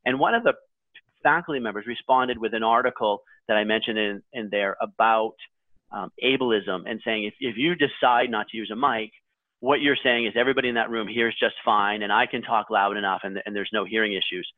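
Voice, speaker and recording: A man's voice: fairly low pitch, fairly quick, dry recording, narrow, tinny audio. A man on a panel, explaining his thinking in a casual, conversational way. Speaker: panel guest and article writer.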